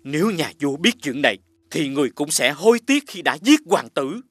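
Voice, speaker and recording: A man playing a loyal, conscientious royal guard in an animated fairy tale, deferential and solemn. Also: fairly high pitch, fairly quick, no room sound, full character voice. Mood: loyal, urgent.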